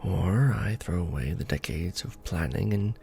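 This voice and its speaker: A man playing a courtly, conflicted vampire lord in a romantic ASMR fantasy roleplay, speaking formally and with measured care. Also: lively intonation, warm tone. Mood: torn, grave. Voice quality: low, creaky. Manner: formal, measured, hesitant when tender. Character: courtly, conflicted vampire lord, tender toward his beloved.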